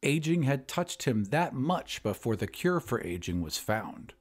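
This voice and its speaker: A man hosting a podcast and narrating an audio drama in a measured, expressive way. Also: mid-range voice. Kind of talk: scripted storytelling narration.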